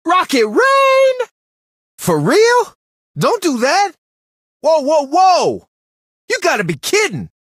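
A cocky young brawler in a video game. His voice is high and swings wildly in pitch. He delivers brash, hyped catchphrases with long, drawn-out timing and a dry, close sound. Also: wildly swooping pitch, no echo, full character voice. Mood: shocked. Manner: brash, slangy, exclamatory shouting. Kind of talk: video game character voice lines.

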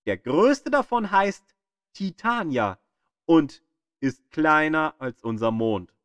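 A man reading a scripted educational audio guide in a measured, emphatic storytelling manner, with pauses. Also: a little high, very animated, a bit bright, no echo, studio quality.

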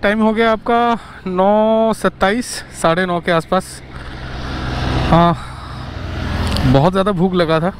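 High-voiced man vlogging over road noise. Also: steady tone, slow, pauses often. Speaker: motorcycle vlogger. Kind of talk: casual chat, narrating a ride. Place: outdoors on a motorcycle on the highway. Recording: noisy road, engine and traffic sound. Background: vehicle and traffic noise.

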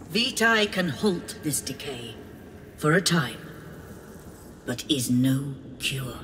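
A woman playing a solemn, possessive otherworldly being in a video game, speaking in a measured, stately way with cold tenderness. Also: deep voice, background noise. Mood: grave, regretful. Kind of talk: dramatic video game voice acting. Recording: loud game music behind the voice.

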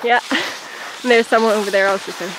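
A woman's voice: medium pitch, steady tone. Giggly Swedish woman vlogging on skis. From southern Sweden. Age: young adult. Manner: giggly, chatty, halting English with fillers. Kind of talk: casual vlog while cross-country skiing. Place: snowy forest trail outdoors. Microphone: camera on a ski pole at arm's length. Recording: outdoor wind and pole scratch noise.

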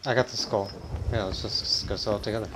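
A man's voice: lowish voice, steady tone, quick pace, noisy background. A man chatting casually while he plays a video game. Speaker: gaming video maker. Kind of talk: casual gameplay chat. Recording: loud game music behind voice.

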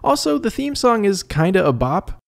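A man narrating a scripted comedic review as host, in an expressive, wry and conversational manner. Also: sits high, moderate pace, creaky. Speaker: video essay host and reviewer.

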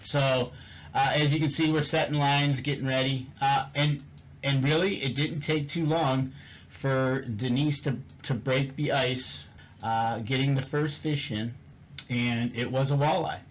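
A man's voice: medium pitch, steady tone, a little slow, a little hiss or hum, poor audio. Rambling man recounting a fishing trip. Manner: rambling, many fillers and repeats. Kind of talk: casual chat over photos.

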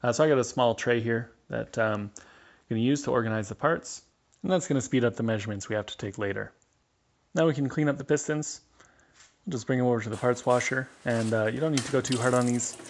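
A man's voice: medium pitch, fast. A man giving a casual, matter-of-fact instructional voice-over as a hands-on mechanic.

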